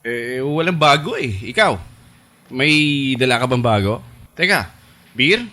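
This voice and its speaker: A man's voice: mid-range voice, very animated, a little slow, bright, forceful tone. An actor playing a casual, teasing young male friend in a Filipino radio drama, colloquial and with a Filipino accent. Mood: breezy teasing.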